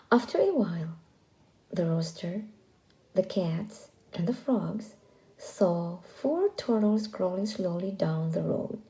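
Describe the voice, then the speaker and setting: A woman reading a children's story aloud as scripted narration, expressive and deliberate in delivery. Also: mid-range voice, low-quality recording.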